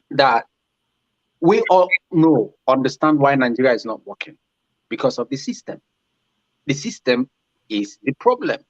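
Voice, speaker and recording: A priest speaks slowly and haltingly, pausing often as he builds his point. His voice sits a little high for a man. The call audio sounds dull and dry, with no room around it. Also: no room sound. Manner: halting, measured, many pauses. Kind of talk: panel discussion.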